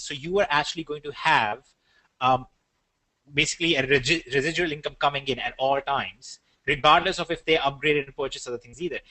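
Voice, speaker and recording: Man, Indian accent, webinar co-host, crisp bright tone, dry mic sound. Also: a little high, no echo, poor audio. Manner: joking, then plainly explaining. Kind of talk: casual business webinar talk.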